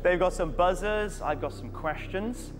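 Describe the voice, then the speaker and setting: A man hosting a British TV game show, delivering scripted lines with cheeky deadpan jokes in a studio. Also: high voice, unhurried. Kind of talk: scripted playful presenting. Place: large TV studio. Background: music.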